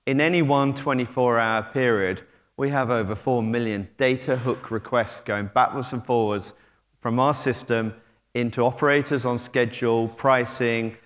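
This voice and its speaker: British man, middle-aged, medium pitch, conference speaker, chatty with ums, echoing hall. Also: a bit bright. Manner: conversational, hesitant with frequent ums and restarts. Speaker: conference speaker, technology company head. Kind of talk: conference keynote talk. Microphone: stage mic, moving about while talking. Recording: long hall reverb.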